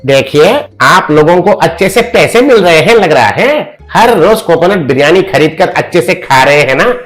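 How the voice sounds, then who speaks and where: A man's voice: on the high side, natural voice. A man voicing an arrogant, greedy male village official who threatens people in a cartoon story.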